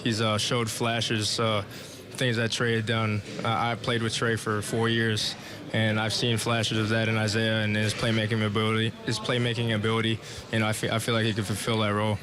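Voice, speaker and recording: A young man, a college basketball player, answering interview questions haltingly with many fillers. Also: on the low side, monotone, a crowd in the background. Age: young adult. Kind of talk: media day interview answers. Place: media day event.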